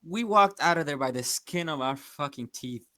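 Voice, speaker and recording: A man's voice: a little high, expressive, normal speed, crowd noise, natural voice. A man voicing a hard-bitten, foul-mouthed female starship pilot in a tabletop game, blunt and argumentative. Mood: angry, insistent. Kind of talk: improvised tabletop role-play.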